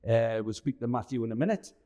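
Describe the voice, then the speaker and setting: A man's voice: mid-range voice, quick pace, warm tone. A man, hosting an interview on location for a local channel. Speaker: host interviewer for a town TV channel.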